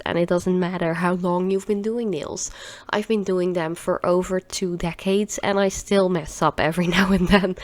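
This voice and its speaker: A woman's voice: fairly low pitch, no echo. A Dutch woman in her thirties with a stuffy, nasal voice from a cold, chatting casually through a nail art tutorial in a rambling way. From Netherlands. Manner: rambling, chatty, laughs often.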